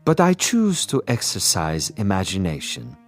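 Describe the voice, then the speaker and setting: An actor playing a suave, boastful assassin commissar in a radio drama, with pompous, precise and silkily polite diction. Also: male voice, low voice, wildly swooping pitch, normal speed, soft background music. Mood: smug, menacing. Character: suave, boastful male assassin commissar, coolly menacing connoisseur.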